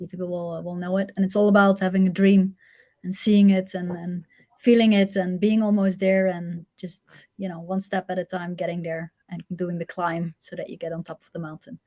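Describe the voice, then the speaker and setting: A woman's voice: lowish voice, little pitch movement, moderate pace, mellow. A woman from the Netherlands with a mixed Dutch and international accent, a guest chatting casually with many fillers and restarts. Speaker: agency CEO guest.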